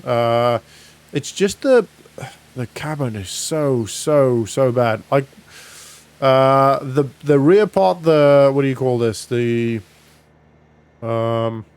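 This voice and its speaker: A man's voice: mid-range voice, darkish tone, smooth. A man narrating a roleplay gaming vlog in a casual, rambling way, as if thinking aloud. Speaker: gaming vlogger.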